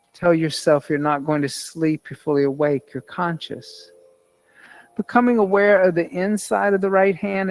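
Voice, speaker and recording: A man leading a guided yoga nidra meditation as an instructor, speaking in measured, repetitive instructional phrases. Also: on the high side, poor audio.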